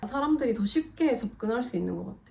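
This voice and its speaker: A woman's voice: fairly high pitch, expressive, fast talker, creaky, a little mellow. Young Korean woman with a cold. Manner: chatty, explaining. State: has a cold. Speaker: co-host of a couple channel. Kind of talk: casual chat.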